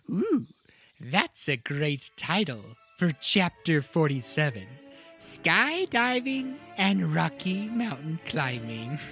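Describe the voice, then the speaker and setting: A performer voicing an excitable, bumbling halfling who huffs, exclaims and giggles in an audio drama. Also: mid-range voice, slow pace, faint music behind, heavily acted. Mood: gleeful. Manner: exclaiming, huffing, giggling, swooping. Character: excitable, bumbling halfling storyteller companion named Solomon. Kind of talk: audio drama acting, muttering to self.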